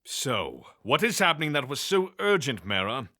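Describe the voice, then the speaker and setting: Man playing a bright, courteous, lively god. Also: mid-range voice, normal speed. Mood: mild, curious. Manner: polite, precise, formal. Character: courteous, curious male god with genteel understatement.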